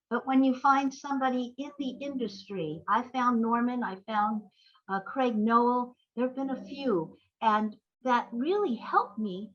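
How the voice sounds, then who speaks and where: An older woman reminiscing in a conversational interview, wordy and halting as she corrects herself. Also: fairly high pitch, fairly bright tone. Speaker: interview guest, playwright and screenwriter. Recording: dull, lo-fi audio.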